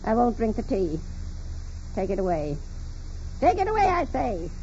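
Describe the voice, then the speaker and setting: A woman's voice: a little high, very animated, normal speed, mellow. An actress playing a despotic, irascible old invalid woman of wealth in a 1940s radio drama, imperious, suspicious and sarcastic. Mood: bitter, suspicious. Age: older. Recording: muffled, noisy 1940s radio recording.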